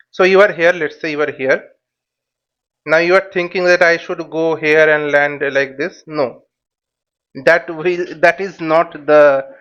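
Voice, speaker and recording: A high-voiced man explains things slowly, like an instructor at a whiteboard. He works step by step, putting questions and then answering them. The recording is slightly dull and lo-fi. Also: fairly bright tone. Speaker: flight simulation instructor. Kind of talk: informal whiteboard tutorial.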